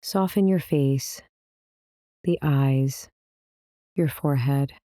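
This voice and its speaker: A woman leading a guided meditation as the teacher, speaking in a calm, measured, gently instructing manner. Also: very deep voice, dry recording, clean studio sound. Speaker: meditation teacher, series host.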